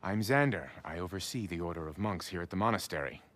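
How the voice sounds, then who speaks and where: Deep-voiced, solemn head monk. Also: male voice, animated, fairly bright tone. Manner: formal, measured. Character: solemn, courteous leader of monks.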